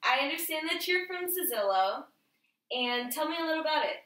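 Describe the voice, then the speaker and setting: A woman's voice: high voice, animated, fairly quick, bright, forceful tone. A woman hosting a casual homemade talk show and interviewing a guest, recorded from a distance in a roomy space.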